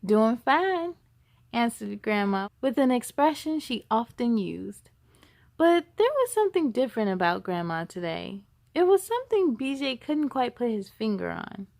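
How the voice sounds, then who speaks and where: A woman reading a children's storybook aloud as a storytime host, with expressive, emphatic delivery. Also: a little high, moderate pace, vocal fry, no room sound, clean studio sound. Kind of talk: animated children's storybook narration.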